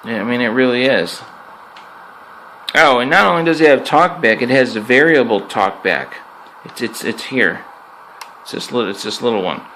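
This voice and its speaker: A man with an ordinary mid-pitched voice talks through a piece of gear in a loose, off-the-cuff way. He is plainspoken and tends to restate points for emphasis. It sounds like an amateur recording made in an apartment, with noise in the background. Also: expressive, normal speed, long pauses, fairly bright tone, rough recording. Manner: plainspoken, conversational, repeats himself for emphasis. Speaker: amateur product reviewer and radio hobbyist. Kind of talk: casual unscripted hands-on review. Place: indoors in an apartment.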